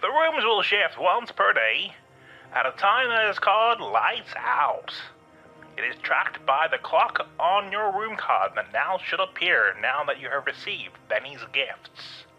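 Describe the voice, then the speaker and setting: A man playing the theatrical radio host of an otherworldly hotel in an audio drama, speaking in a deliberate showman's way. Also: high voice, big pitch swings, pushed and bright, dull audio, faint music behind, heavily acted. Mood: showy, welcoming.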